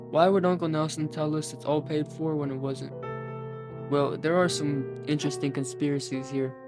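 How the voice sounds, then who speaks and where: A nineteen-year-old man narrating a scripted video about video game lore. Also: fairly high pitch. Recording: music behind the voice. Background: plucked guitar music.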